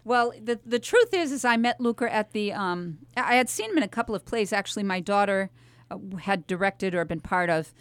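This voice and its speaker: A middle-aged American woman hosting a talk radio show in a chatty, animated way with emphatic asides and rhetorical questions. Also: mid-range voice, a little fast, clean tone, no room sound. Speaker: radio host.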